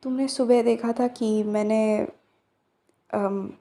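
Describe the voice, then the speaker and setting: A woman in a soft-spoken roleplay, playing a young flower shop owner who teases gently and drops into breathy whispers. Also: a little high, fairly even intonation, slow pace. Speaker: voice actress in a listener roleplay. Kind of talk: ASMR roleplay, one side of a conversation.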